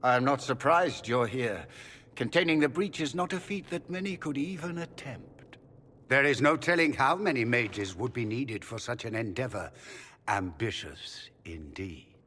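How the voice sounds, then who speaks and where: An actor playing a suave, calculating male magister with a husky voice and courtly, theatrical manners. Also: mid-range voice, lively intonation, moderate pace, sharp tone, slight background noise. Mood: smooth, calculating. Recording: long hall reverb.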